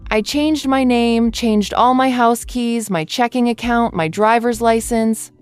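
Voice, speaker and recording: A woman playing a composed bank clerk in an audio story, explaining herself in a measured way. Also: a little high, clear, resonant tone, faint music behind, natural voice. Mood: resigned, explanatory. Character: composed woman bank clerk, eager yet wearily resigned. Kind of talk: audio story character dialogue.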